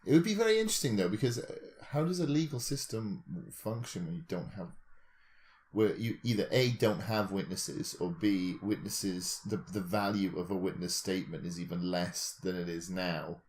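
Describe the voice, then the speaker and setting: A man with a deep voice speaks in casual podcast discussion. He is analytical, reasoning things through aloud and often turning his points into questions. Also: lively intonation, normal speed, a little mellow, a little hiss or hum.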